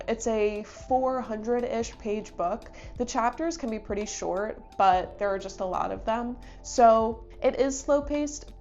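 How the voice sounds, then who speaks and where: A woman's voice: medium pitch, dull audio. Stuffy-voiced woman reviewing a book. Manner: chatty, enthusiastic, thinks aloud. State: stuffy from a cold. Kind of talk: casual book review talk.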